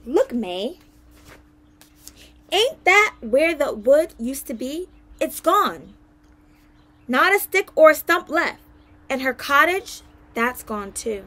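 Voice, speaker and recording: A woman's voice: high-pitched, sing-song, slow, pauses often, fairly bright tone, exaggerated voice. A woman voicing a wistful, cautious country husband with folksy, plain-spoken talk in a storybook reading. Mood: wistful, shocked.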